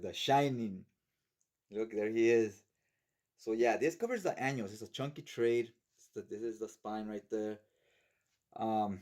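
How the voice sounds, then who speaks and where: A man's voice: mid-range voice, a little mellow. A man with a mid-range voice presents a collector's guide in an off-the-cuff way. He is chatty and informal, talking straight to the viewer and often tacking a little check-in onto the end of his sentences. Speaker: comic book collector presenting a reading guide. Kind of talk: casual unscripted video overview.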